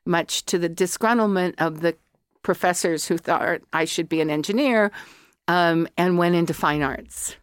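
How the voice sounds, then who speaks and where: A woman's voice: lowish voice, moderate pace, clean tone, no echo, studio quality. A middle-aged American woman from Colorado, an artist and academic, chatting in a podcast interview and drifting into opinionated tangents.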